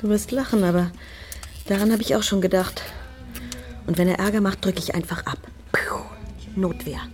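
A woman's voice: medium pitch, fairly even intonation, moderate pace, darkish tone, soft background music, lightly acted. A woman playing a scheming, discontented wife in a German radio crime drama, coolly calculating and persuasive. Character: scheming, discontented wife plotting with her lover.

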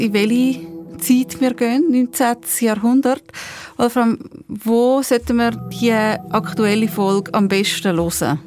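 A woman's voice: fairly high pitch, darkish tone. A woman chatting as she introduces a podcast, with music behind her. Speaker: podcast host and producer.

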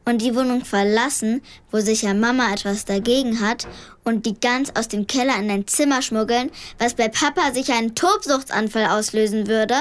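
A woman's voice: on the high side, moderate pace, close to an everyday voice. A woman playing a clever, scheming schoolgirl who narrates a children's radio play in a wry, know-it-all, matter-of-fact way. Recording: music behind the voice.

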